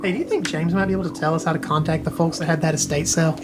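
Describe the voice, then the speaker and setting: A man's voice: a little high, steady tone, racing, background noise, natural voice. A man playing an informal, practical studio partner in a mystery audio drama. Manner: informal, buddy-like. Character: jittery, practical male studio partner, voice of reason. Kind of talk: naturalistic audio drama acting.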